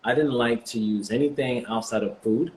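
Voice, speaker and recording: A man in his forties, born in the US and partly raised in Jamaica, answering interview questions as a guest in a relaxed, reflective way with many fillers. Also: mid-range voice, moderate pace, slight background noise, rough recording. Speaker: guest, vegan bodybuilder.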